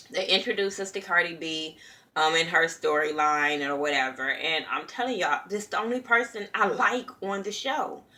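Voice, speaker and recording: A woman's voice: medium pitch, sharp tone. A young woman from California ranting bluntly and profanely while reviewing a reality show in a casual vlog. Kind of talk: casual opinion commentary.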